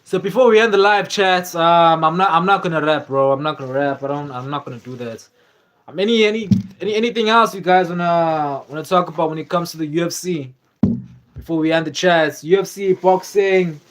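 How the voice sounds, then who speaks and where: A man's voice: fairly high pitch, fairly quick, fairly bright tone. A young man from Africa, hosting a casual live stream and chatting loosely with viewers, with lots of fillers and repeated words. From South Africa. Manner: rambling, many fillers and repeats, reads chat aloud. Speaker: livestream host.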